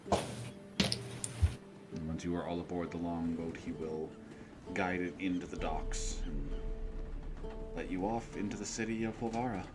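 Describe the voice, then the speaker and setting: A man working as game master, narrating a tabletop game in a halting, conversational way. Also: low-pitched, steady tone. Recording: quiet mic under guitar music.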